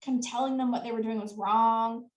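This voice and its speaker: A woman with a fairly high voice speaks as a sales coach in an interview. She explains earnestly and laughs easily. Her voice sounds roomy, with an echo around it. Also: fairly even intonation, brisk, dull audio. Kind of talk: interview answers. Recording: echoey room.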